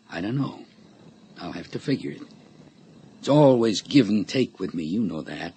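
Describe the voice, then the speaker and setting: An actor playing a sly, menacing middle-aged blackmailer in a radio drama, taunting with mock-friendly, folksy familiarity. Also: male voice, low-pitched, gravelly, a little mellow, full character voice. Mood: sly, gloating. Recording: radio drama recording with background noise.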